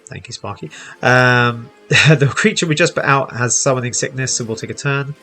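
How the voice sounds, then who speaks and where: A man's voice: mid-range voice, normal speed, pushed and bright, soft background music. A man hosting a casual game tutorial, explaining each step as he plays. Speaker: host, gaming teacher. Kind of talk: casual tutorial commentary over gameplay.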